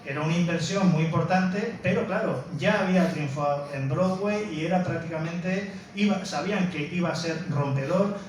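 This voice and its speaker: Film presenter, male, slightly high voice, explanatory and emphatic. Heavy room echo, faint noise. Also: moderate pace, few pauses. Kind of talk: informal spoken lecture introducing a film. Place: indoor room, presenting to an audience. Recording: very echoey room, distant mic, faint noise.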